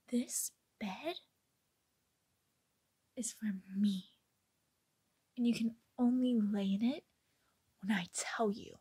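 A young woman in an ASMR roleplay playing a bossy, controlling girlfriend, speaking in slow, menacing, breathy whispers close to the mic in a small bedroom. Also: a little high, warm tone, full character voice. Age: young adult. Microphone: close camera mic.

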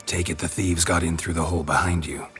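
An actor playing a stoic, laconic monster hunter in a video game, terse and deadpan with dry humor. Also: male voice, low voice, fast talker, music in the background, lightly acted. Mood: cool, inquiring. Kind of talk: video game dialogue acting.

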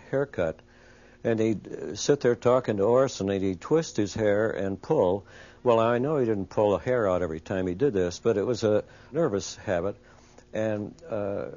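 A man's voice: on the low side, darkish tone. A man reminiscing conversationally about people he once worked with, as an interviewee. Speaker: interviewee recalling colleagues. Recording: dull, muffled interview recording.

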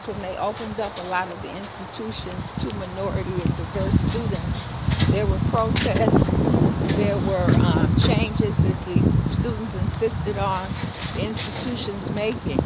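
Older woman over loud street noise. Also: medium pitch. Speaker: vlogger's companion. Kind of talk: casual conversation on a walk. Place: outdoors on a residential street, walking. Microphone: off-mic, beside the camera phone. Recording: loud outdoor noise, off-mic.

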